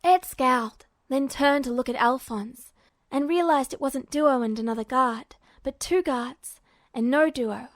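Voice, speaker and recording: A woman's voice: high voice, unhurried, no echo, clean studio sound. A woman reading a scripted story aloud as narrator.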